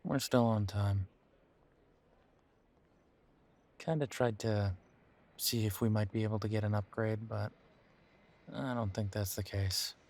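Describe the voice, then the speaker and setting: A man playing a weary, wry werewolf boyfriend in an intimate audio roleplay, talking casually with hesitant, trailing pauses. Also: on the low side, fairly even intonation, darkish tone, natural voice. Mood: wry, resigned. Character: weary, wry male werewolf boyfriend estranged from his family. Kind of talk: intimate roleplay audio drama, conversational monologue.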